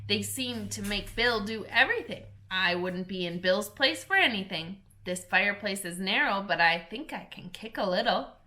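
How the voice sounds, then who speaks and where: A woman's voice: medium pitch, lively intonation, moderate pace, hardly any pauses, pushed and bright. A woman reading as young Alice, curious. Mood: musing.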